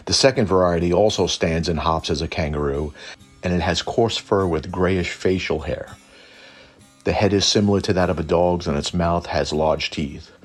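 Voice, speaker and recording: A man with a gravelly, husky voice narrating lore informally, with a wry, irreverent manner. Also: deep voice. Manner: irreverent, wry, mildly profane. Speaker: host.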